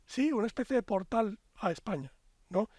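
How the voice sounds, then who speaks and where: A Spanish man with a fairly high voice who spins long, reflective arguments in a relaxed podcast chat. His voice sits close and dry, with hardly any room sound. He strings his thoughts together in flowing, expansive sentences. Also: moderate pace, dry recording. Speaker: podcast guest. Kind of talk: casual podcast conversation.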